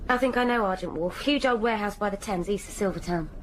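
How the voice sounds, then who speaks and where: An actress playing a shrewd, dry detective sidekick in a radio drama, theorising in a measured, naturalistic way. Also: female voice, medium pitch, a bit bright, close to an everyday voice. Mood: thoughtful.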